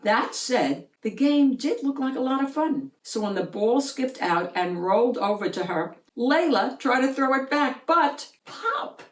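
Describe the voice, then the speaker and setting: A woman narrates a picture book for children. Her reading is expressive, with highly animated pitch, and the room around her is noticeably echoey. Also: measured pace, few pauses. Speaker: storyteller, children's read-aloud host.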